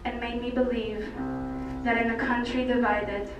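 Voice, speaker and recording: A woman from Cyprus performing slam poetry as a poet, with deliberate, impassioned phrasing and dramatic pauses. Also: a little high, flat delivery. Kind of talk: slam poetry recital, dramatic spoken word. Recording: very echoey, distant mic, loud music. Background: loud music.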